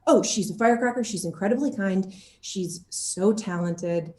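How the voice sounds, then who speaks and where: A woman actor chatting casually in an interview and telling anecdotes in an animated, laughter-prone way. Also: medium pitch, moderate pace, echoey room, crowd noise.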